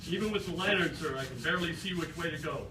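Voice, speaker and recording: Man as young soldier, dutiful, strained, highish male voice, radio parody, echoey noisy far-off recording. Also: fast talker, close to an everyday voice. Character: dutiful young male army corporal battling a storm. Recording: distant, echoey, very noisy stage.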